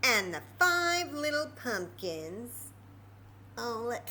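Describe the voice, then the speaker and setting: A woman's voice: fairly high pitch, very animated, slow, pushed and bright, a little hiss or hum. A woman hosting a children's library story time and craft lesson, explaining simply step by step in an informal, chatty way.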